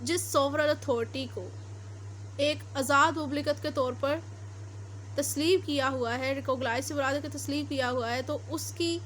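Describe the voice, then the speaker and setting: A woman's voice: sits high, moderate pace, a bit bright, slight background noise. A woman with a Pakistani accent giving an explanatory lecture as a law teacher, mixing two languages as she reads out and explains. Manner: explanatory, switches between Urdu and English. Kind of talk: lecture, reading statutes then explaining them.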